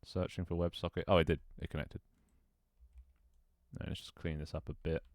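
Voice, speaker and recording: A man's voice: low-pitched, flat delivery, brisk, a little mellow, dry recording, clean studio sound. A young man streaming a game, chatting casually with viewers and talking to himself as he sets things up. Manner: rambling, self-talk with frequent restarts and laughs. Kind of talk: casual live-stream chat while gaming.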